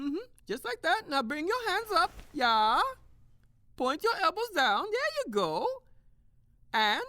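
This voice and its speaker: A woman's voice: high-pitched, a bit bright, no room sound, full character voice. A woman playing an effusive, kindly European man who teaches martial arts in an audio drama, with slightly quirky phrasing. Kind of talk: audio drama character acting.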